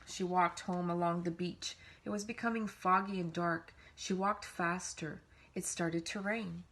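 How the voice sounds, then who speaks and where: A woman reads a children's storybook aloud in an animated way, leaning on key words with dramatic emphasis. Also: on the low side, a little slow, a little hiss or hum. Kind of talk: expressive read-aloud storytelling.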